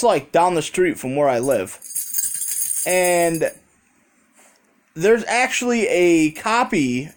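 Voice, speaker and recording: An American man with a slightly high voice speaks as a casual storytime vlogger. He rambles conversationally and swears freely. He is recorded on a phone held in his hand. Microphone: handheld phone.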